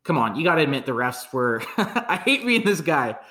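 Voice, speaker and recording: Canadian dude ranting about the refs, swears a lot, loose and rambly. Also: male voice, a little high, big pitch swings, moderate pace, bright, forceful tone. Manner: frank, swears freely, rambling restarts. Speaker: podcast co-host. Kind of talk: casual sports chat.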